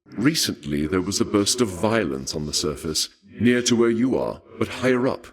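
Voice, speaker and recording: Suave, low-voiced god of war. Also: male voice, expressive. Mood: courteous, intrigued. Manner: formal, archaic, measured, polite menace. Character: suave, courtly, bloodthirsty male god of war. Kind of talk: theatrical scripted video game dialogue.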